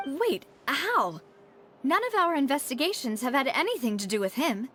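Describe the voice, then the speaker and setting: A woman playing a poised, determined young lady investigator in a video game, composed and expressive. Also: high-pitched, moderate pace, over music. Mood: surprised.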